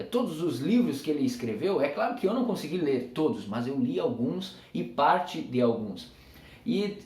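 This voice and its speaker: A man's voice: a little high, a little mellow, echoey room. Earnest Brazilian man with a preacher's cadence. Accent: Brazilian Portuguese. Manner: earnest, emphatic, preacher-like repetition. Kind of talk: reflective tribute talk to camera.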